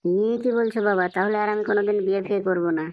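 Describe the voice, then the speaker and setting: A woman's voice: mid-range voice, fairly even intonation, racing, darkish tone, no echo, lightly acted. A woman voicing a hapless, pleading young male swindler in a Bengali comedy cartoon. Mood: alarmed. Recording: dull lo-fi, very dry cartoon dub.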